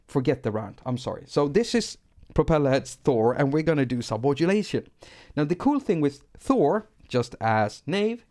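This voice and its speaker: A man's voice: mid-range voice, a little mellow. A middle-aged man from Sweden with a Swedish accent, rambling and self-deprecating as he teaches synthesis on a live stream. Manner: rambling, self-deprecating, repeats words when restarting. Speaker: music producer teaching synthesis.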